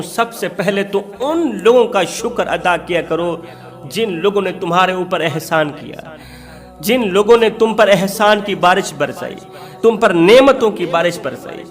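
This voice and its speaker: Male Islamic preacher, high voice, emotional storytelling sermon, urgent exhortation and insistent repetition. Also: fairly bright tone. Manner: impassioned, exhortatory, emphatic repetition in short rhetorical phrases.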